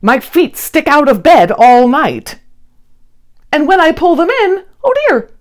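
A woman's voice: sits high, very animated, a little slow, pitched above the performer's own voice. A woman voicing a fretful, complaining storybook fellow in a plaintive, exclaiming manner.

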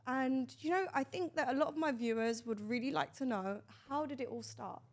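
A woman's voice: sits high, fairly quick. A woman hosting a casual interview, chatting with the guest and asking probing follow-up questions. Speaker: host.

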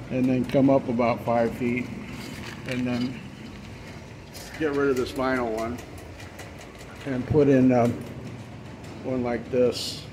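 A man working as a plumber talks through a clogged drain job in a level, unhurried voice that hardly rises or falls. He pauses on fillers as he explains. The noise of running water and machinery fills the basement around him. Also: medium pitch. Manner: relaxed, explanatory, trails off with fillers. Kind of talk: casual how-to commentary while working. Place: basement utility area, indoors.

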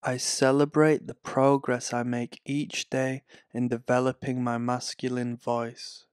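A man reading guided affirmations in a calm, soothing, scripted way. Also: medium pitch, clean tone, dry recording, clean studio sound. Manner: slow, calm, soothing delivery. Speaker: affirmation narrator.

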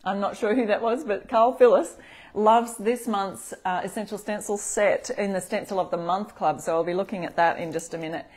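A middle-aged Australian woman hosting a live craft tutorial, chatting with viewers and greeting them by name from a table in a bright room. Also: mid-range voice, steady tone, moderate pace. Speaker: craft demo host.

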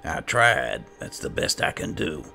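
A man with a gravelly voice giving casual roleplay commentary as he plays a video game. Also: low voice, big pitch swings, a little slow, bright, forceful tone, soft background music. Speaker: gamer, roleplaying host.

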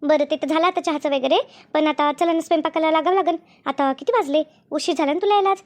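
A woman voicing a bossy, sly elder sister-in-law in a cartoon family drama, brisk and repetitive as she instructs. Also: sits high, lightly acted. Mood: bossy, coaxing. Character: bossy, two-faced elder sister-in-law running the kitchen.

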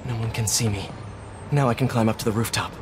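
An actor playing a quippy young American superhero who mutters wryly to himself in a video game. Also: male voice, on the low side, fast talker, over music, lightly acted. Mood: focused, quippy. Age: young adult. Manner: wry self-talk. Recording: clear city street noise behind.

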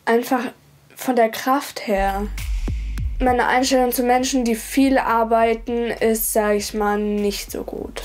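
High-voiced teenage woman. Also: steady tone, slow pace, slight background noise. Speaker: documentary participant. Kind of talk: casual interview talk.

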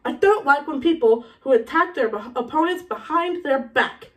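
A woman voicing a gruff, menacing older male professor from a storybook, blunt and forceful, with a growl. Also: expressive, moderate pace, distant mic. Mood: furious growl. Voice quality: low, gravelly growl.

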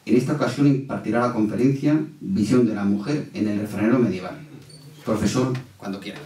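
A man's voice: lowish voice, brisk, mellow, slight background noise. A man in his sixties with an accent from Spain, formally reading out an introduction and sometimes stumbling over words. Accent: Spain Spanish. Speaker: presenter introducing a speaker. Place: lecture room at a panel table. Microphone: gooseneck table mic, distant pickup. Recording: echoey hall, distant microphone.

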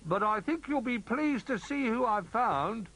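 A man's voice: medium pitch, expressive, fast talker, voice pushed up, natural voice, poor audio. A man voicing a cheerful, helpful toy animal in a children's storybook, calling out cheerily.

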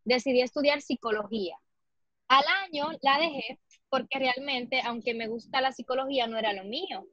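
A young Dominican woman with a Cibao lilt speaks in a fairly high voice, earnest and reflective, often pausing with fillers. Her voice comes through a muffled video-call mic, close and dry with no room around it. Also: bright, forceful tone, no echo. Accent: Dominican Spanish, Cibao. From Dominican Republic. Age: 20s. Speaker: guest, fitness model. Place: small room indoors. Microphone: laptop or phone mic on video call.

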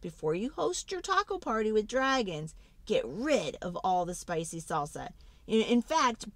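A woman performs a children's picture book aloud with theatrical flair. Her medium-pitched voice swings widely up and down, and she takes her time, drawing words out for emphasis. The sound is close and dry. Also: gravelly, a bit bright, no room sound. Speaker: children's storybook read-aloud host. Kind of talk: dramatic, animated storybook reading for children.

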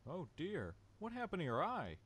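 A man voicing a naive, kindly, trusting guinea pig with a gentle, hesitant, courteous manner in a cartoon game. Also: high-pitched, wildly swooping pitch, moderate pace, slight background noise, full character voice. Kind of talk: cartoon adventure game voice acting.

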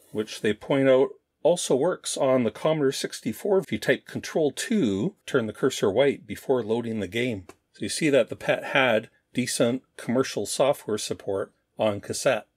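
A middle-aged man giving an informal show-and-tell narration as a host, explaining things in a measured way. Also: mid-range voice, no echo. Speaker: host of a retro computing show.

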